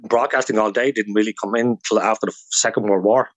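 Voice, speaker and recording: A man's voice: on the low side, steady tone, a little fast, no room sound. A man with an Irish accent talking as a guest historian in a radio interview, explaining things informally through anecdotes.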